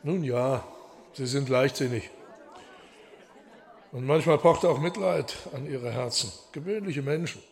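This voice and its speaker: A man's voice: medium pitch, measured pace, vocal fry, long pauses. An actor playing an aloof masked man in a radio drama, musing with detachment on humankind. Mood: musing, lenient. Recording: theatre crowd murmur behind.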